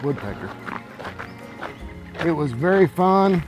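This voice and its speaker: A man's voice: on the high side, sing-song, takes it slowly, music in the background, low-quality recording. A man, hosting a hiking vlog in a casual, easygoing way on a wooded trail, filming himself with a phone at arm's length. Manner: folksy, easygoing, talks while walking. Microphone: phone camera at arm's length. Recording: outdoor noise, stream and wind behind.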